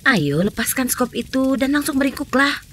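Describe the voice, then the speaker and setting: A woman's voice: high-pitched, expressive, moderate pace. A woman voicing a sly, hungry cartoon fox who puts on a playful, coaxing act in a theatrical way. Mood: scheming. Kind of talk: animated children's fairy-tale acting. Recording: clearly noisy background.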